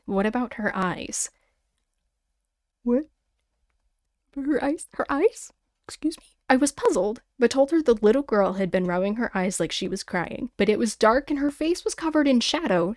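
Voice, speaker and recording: A woman podcast host reading listeners' stories aloud in a casual, conversational way with animated personal asides. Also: a little high, no room sound, clean studio sound. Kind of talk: casual chatty storytelling with read-aloud emails and asides.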